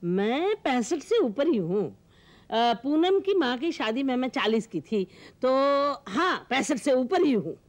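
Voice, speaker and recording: A woman's voice: high voice, sing-song, unhurried, a little mellow. A woman in her sixties playing a blunt, bossy, suspicious Indian grandmother in a television sitcom, scolding and interrogating. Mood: blunt, probing. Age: 60s. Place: indoor TV studio living-room set. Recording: noisy old TV studio soundtrack.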